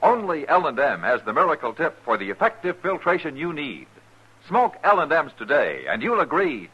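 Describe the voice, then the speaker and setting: A man's voice: on the high side, big pitch swings, normal speed, fairly bright tone, slight background noise. A man announcing in the scripted style of a 1950s radio commercial. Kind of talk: 1950s radio advertisement read. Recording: dull vintage 1950s radio recording.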